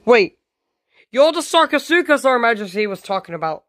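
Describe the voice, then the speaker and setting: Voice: medium pitch, takes it slowly, a bit bright, dry recording, studio quality. A performer voicing a frightened, loyal dinosaur hero in a homemade dinosaur story, dramatic and anxious, full of exclamations. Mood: shocked alarm.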